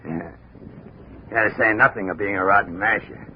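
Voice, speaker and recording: A man's voice: on the low side, moderate pace, close to an everyday voice. A man playing a cool, self-assured, sardonic social climber in a 1950s radio drama. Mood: smug, probing. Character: smug, cool male social climber and schemer. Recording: muffled, hissy vintage radio recording.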